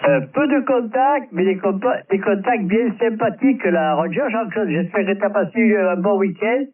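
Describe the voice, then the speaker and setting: A man with a very high voice makes relaxed conversation as a radio amateur. The sound is narrow and tinny like shortwave, and he seems set back from the microphone. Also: normal speed, far from the mic. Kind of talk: casual ham radio chat. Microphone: radio transceiver microphone. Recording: tinny band-limited shortwave radio transmission.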